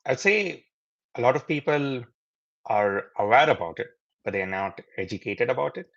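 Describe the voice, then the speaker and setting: A man's voice: medium pitch, lively intonation, normal speed, fairly bright tone, dull audio. A man from India, a guest on a webinar interview, explaining things in an earnest way over a video call. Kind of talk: interview answers.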